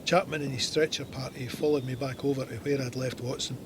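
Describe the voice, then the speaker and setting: An actor playing a stoic Scottish First World War soldier, narrating his memoir in a measured, matter-of-fact way. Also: medium pitch, fairly even intonation, background noise, close to an everyday voice. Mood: sombre, matter-of-fact. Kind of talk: scripted memoir narration in an audio drama.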